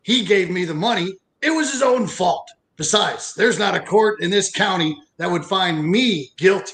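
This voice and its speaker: Man acting smug, sharp-voiced old rich villain, Potter. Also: sits high. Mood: smug, insinuating. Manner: smug, menacing, deliberate. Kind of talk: old-fashioned radio play acting.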